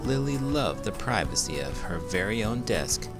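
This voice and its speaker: A man reading a children's storybook aloud in a theatrical, expressive way, full of big emotion. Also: lowish voice, normal speed, over loud music. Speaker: host, children's book reader. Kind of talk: animated storybook read-aloud.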